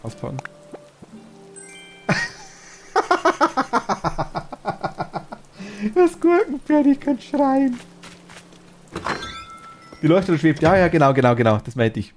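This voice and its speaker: A man's voice: high voice, huge pitch swings, drawn-out words, pauses often, soft background music. A man with a Bavarian accent chatting casually and enthusiastically while streaming a game, laughing easily.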